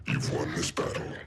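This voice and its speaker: An actor playing a menacing, arrogant talking gorilla warlord in a video game, with a heavy voice and slow, pompous delivery. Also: male voice, very noisy. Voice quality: very deep, heavy voice. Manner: pompous, slow, grandiose pronouncements. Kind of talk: dramatic video game acting. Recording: loud cinematic music under voice.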